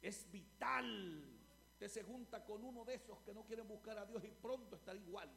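A Salvadoran man preaching a fervent sermon as pastor, building to shouted repetitions, recorded live before a congregation. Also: sits high, normal speed, creaky, a little hiss or hum. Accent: Salvadoran Spanish. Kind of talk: Pentecostal-style sermon.

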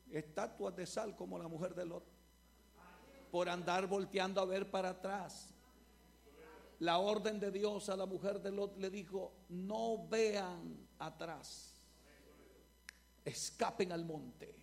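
A Salvadoran man preaching a fervent sermon as pastor, building to shouted repetitions, recorded live before a congregation. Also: high-pitched, fairly even intonation, slow, creaky, long pauses. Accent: Salvadoran Spanish. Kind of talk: Pentecostal-style sermon.

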